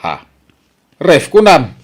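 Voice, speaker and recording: A man's voice: a little high, huge pitch swings, slow pace, husky, exaggerated voice. A man with an Ilocano accent playing a skeptical, grumbling husband in a comedy radio drama, full of doubting questions.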